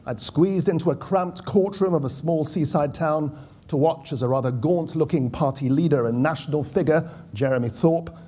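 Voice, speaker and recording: A man's voice: fairly high pitch, dark tone, slightly rough. A middle-aged British man, formerly a political correspondent, reading a prepared speech at a conference podium with dry, wry asides. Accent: British English. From United Kingdom. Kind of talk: prepared speech read from notes. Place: conference hall. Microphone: podium microphone.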